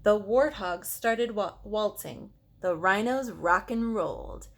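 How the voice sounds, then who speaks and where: A woman reading a picture book aloud to children, expressive, with questions and asides to the listener. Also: medium pitch, slow. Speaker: storyteller for children.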